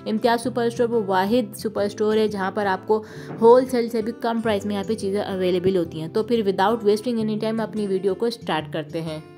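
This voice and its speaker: A woman with a Pakistani Urdu accent, narrating a store-tour vlog and listing prices in a steady, informative voice. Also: medium pitch, fairly quick. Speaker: shopping vlogger. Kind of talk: vlog voice-over. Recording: voice-over with faint music behind.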